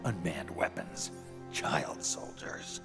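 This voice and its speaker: An actor playing a weary old male soldier in a video game, terse and sardonic, with a gravelly growl. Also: extremely low pitch, huge pitch swings, slow pace, loud music behind the voice, heavily acted. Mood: grim, questioning. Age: older. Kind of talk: dramatic video game acting.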